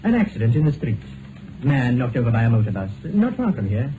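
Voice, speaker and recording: A male actor plays a tactful doctor in an old radio drama. He is formal and hesitant, with a mid-pitched voice, heard through a thin, very noisy vintage broadcast. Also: very animated, a little fast, phone-quality sound, close to an everyday voice. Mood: polite, awkward. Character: tactful, awkward male doctor, polite and helpful.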